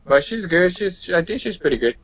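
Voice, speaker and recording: A man giving casual game impressions as a podcast guest, in loose, halting phrases peppered with "like". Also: high-pitched, animated, fairly bright tone, slightly rough. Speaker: guest player on a gaming podcast. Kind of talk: casual game analysis chat. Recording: dull lo-fi audio in a roomy space.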